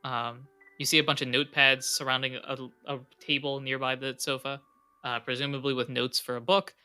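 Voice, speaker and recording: Bright-voiced young game master. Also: male voice, mid-range voice, flat delivery, fairly quick, smooth, soft background music. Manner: casual, filler-heavy narration. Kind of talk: narrating a tabletop game.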